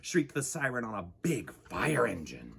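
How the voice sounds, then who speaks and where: A man hosting a children's storytime, reading a picture book aloud in an expressive, theatrical and playful way. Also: lowish voice, moderate pace, vocal fry, slightly rough. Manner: expressive, dramatic, playful with sound words.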